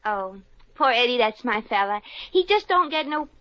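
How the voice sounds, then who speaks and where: A woman's voice: fairly high pitch, lively intonation, normal speed, lightly acted. An actress playing a brassy, slangy young working-class woman in a 1950s radio drama. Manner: slangy, street-smart, ungrammatical. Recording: dull old radio recording.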